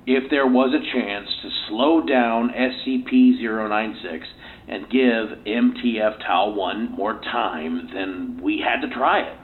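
Man as defensive scientist, low tinny voice. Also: takes it slowly. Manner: curt, defensive, self-assured. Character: defensive, proud male research scientist under questioning. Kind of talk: audio play interview acting. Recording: tinny phone-like recording, faint noise.

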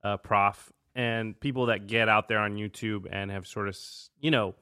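A man's voice: lowish voice, steady tone, normal speed, pushed and bright, clean tone, no echo, studio quality. A man hosting a podcast in casual, conversational talk. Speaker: podcast host.

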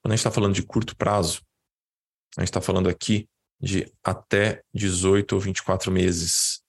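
A Brazilian man with a lowish, husky voice leads an informal class as a financial educator. He explains step by step in a conversational, didactic way, repeating words to stress his points. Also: flat delivery, normal speed. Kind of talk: informal explanatory lesson, live talk.

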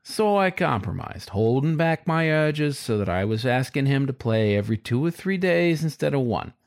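A man's voice: medium pitch, animated, normal speed, creaky, voice pushed up. A man voicing a sly, frustrated male fox who narrates his story in a wry, confiding, colloquial way, as in an audiobook.

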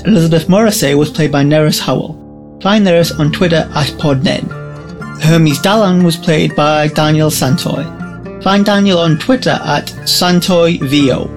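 Guy reading show credits, voice kinda high, guitar music playing under him. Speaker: credits announcer. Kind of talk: scripted credits read. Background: plucked guitar music.

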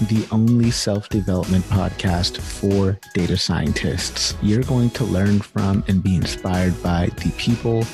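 A man's voice: sits low, measured pace, creaky, hardly any pauses, over music. A man hosting a podcast, upbeat and enthusiastic as he introduces the show and asks questions. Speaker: podcast host.

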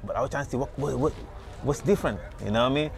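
A man talks at a rapid clip from his booth at an outdoor beach market. He rambles, restarts and repeats words, peppering his story with "you know," at a middle pitch. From Senegal. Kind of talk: casual street interview.

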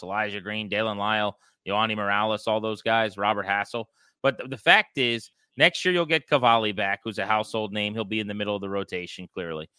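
Sharp bright guy cohosting a sports podcast, dry close sound, opinionated and kinda rambling. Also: medium pitch, smooth, dry recording. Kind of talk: conversational sports commentary.

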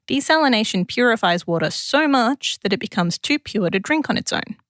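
A woman's voice: on the high side, lively intonation, fairly quick, fairly bright tone, dry recording, studio quality. A woman with an Australian accent presents a scripted science explainer in a conversational, lightly wry way.

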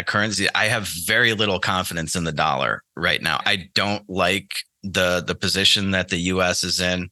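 A man's voice: low voice, sharp tone, no room sound. An American man answering as a podcast guest in a conversational, loosely hedged way. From United States. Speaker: podcast guest, trading educator.

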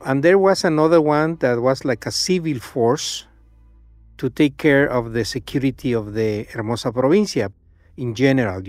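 Male interviewee, mid-pitched, hesitant and casual, dry close sound with music under. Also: no echo. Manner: halting, many fillers and restarts. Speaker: interviewee, former church member.

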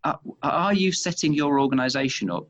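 A man's voice: a little high, animated, a little fast, highs cut off. A middle-aged man with a Manchester accent, head of an organization, answering interview questions on leadership and often repeating words as he works out his point. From England.

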